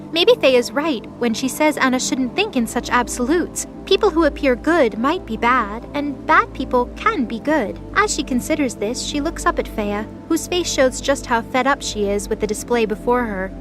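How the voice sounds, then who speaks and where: A woman with a high voice narrates an audio drama from a script. Faint music plays behind her as she reads the story.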